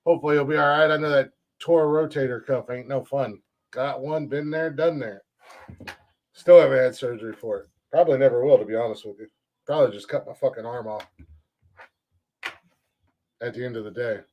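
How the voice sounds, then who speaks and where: A young man hosting a casual comedy podcast, loud and joking, swearing often and laughing a lot. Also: medium pitch, a little fast, pauses often, muffled recording. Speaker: podcast host.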